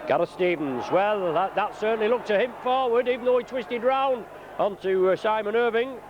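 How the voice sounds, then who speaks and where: A man giving live rugby league commentary, slightly croaky from a cold, calling play by play with frequent "uh" fillers. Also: high voice, normal speed. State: croaky from flu. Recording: dull lo-fi broadcast tape with noise.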